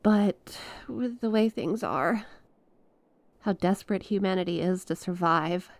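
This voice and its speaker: A woman playing an earnest, awestruck stranded space pilot in an ASMR audio roleplay, speaking expressively and haltingly. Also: mid-range voice, no room sound, close to an everyday voice. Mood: solemn, pleading. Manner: expressive, halting, conversational, with small laughs and breathy exclamations. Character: stranded young female star scout pilot, awestruck and earnest.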